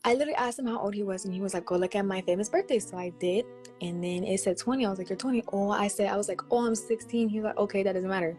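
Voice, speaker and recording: A young woman speaks quickly and with animation in a casual interview, acting out other people's words. Her pitch sits in the middle. Soft music runs underneath. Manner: quick, animated, acts out quoted speech. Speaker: interview guest.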